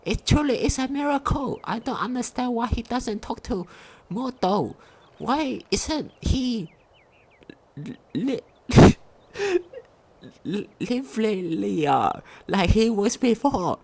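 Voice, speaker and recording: A woman's voice: fairly high pitch, lively intonation, takes it slowly, a little mellow, husky. A woman reading a game diary aloud as a video commentator, sometimes stumbling over her words.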